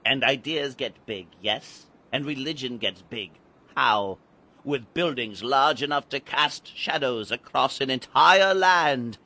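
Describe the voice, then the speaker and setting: An actor playing a mysterious, grandly macabre guide to young boys in a dramatized audiobook, declaiming like an incantation. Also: male voice, mid-range voice, expressive, slow, full character voice. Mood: urging, rousing. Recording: loud music under the voice.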